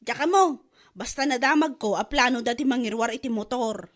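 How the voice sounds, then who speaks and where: A woman's voice: a little high, brisk, close to an everyday voice. A woman playing an eager, scheming village wife with an Ilocano accent in a comedy drama.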